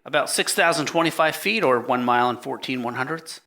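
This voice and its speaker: An actor playing a precise, matter-of-fact engineer giving testimony in an 1890s courtroom drama, formal, clipped and respectful. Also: male voice, medium pitch, fairly quick, sharp tone. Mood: factual, respectful.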